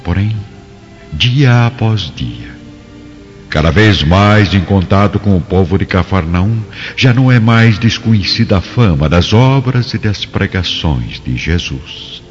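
A man's voice: deep voice, expressive, unhurried. A man narrating a scripted radio drama in a solemn, theatrical manner. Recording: dull, reverberant recording with music.

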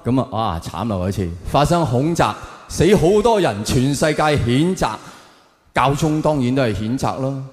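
A man's voice: medium pitch, big pitch swings, normal speed. A man from Hong Kong with a Hong Kong Cantonese accent, performing stand-up comedy in a sardonic, theatrical manner full of rhetorical questions. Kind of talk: stand-up comedy monologue to a live audience. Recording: echoey hall with long reverb.

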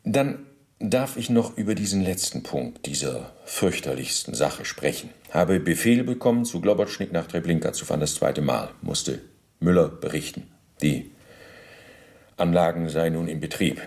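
An actor playing an evasive middle-aged male war criminal under interrogation in a radio play, halting and self-justifying, speaking in officialese. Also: sits low, gravelly, a little mellow, exaggerated voice.

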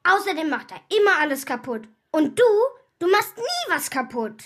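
A sulky little girl in a children's radio play. Her voice is extremely high and bright, swinging with defiance and indignation. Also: big pitch swings, moderate pace. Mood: sulky, defiant.

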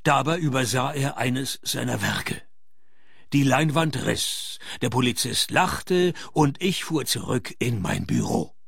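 Gravelly, deadpan private detective. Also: male voice, mid-range voice, takes it slowly, dry recording, exaggerated voice. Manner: dry, laconic. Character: deadpan, sardonic male private detective narrating his case. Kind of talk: scripted radio play narration and dialogue.

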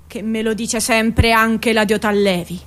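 A woman's voice: on the high side, steady tone, measured pace, natural voice. A woman playing a coarse, aggrieved poor mother in a stage drama, ranting in a repetitive, foul-mouthed, colloquial way. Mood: exasperated, defiant. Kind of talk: theatrical monologue acting.

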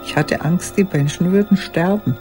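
An actress playing a lonely old woman who reminisces in measured, reflective phrases in an intimate radio play monologue. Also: on the low side, dark tone, soft background music, natural voice. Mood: wistful, remembering. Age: older. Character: old woman recalling her childhood and lost love, lonely.

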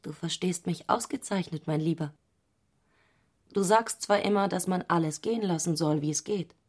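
A woman's voice: fairly low pitch, moderate pace, husky. An actress playing an intense, provocative young woman in an intimate, fervent literary radio drama. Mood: intimate, provoking.

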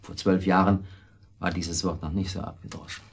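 Husky, low-voiced weak husband. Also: male voice, little pitch movement, normal speed. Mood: brooding, reminiscing. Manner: hesitant, rambling, self-justifying. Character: weak, confessing middle-aged husband, accomplice to murder. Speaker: radio drama actor. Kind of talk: naturalistic German radio drama acting.

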